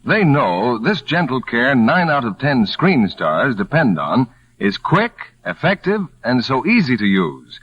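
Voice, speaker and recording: A man's voice: mid-range voice, sing-song, measured pace. A man announcing a 1940s radio commercial in a selling style. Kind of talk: 1940s radio advertising pitch. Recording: dull, dry vintage radio recording.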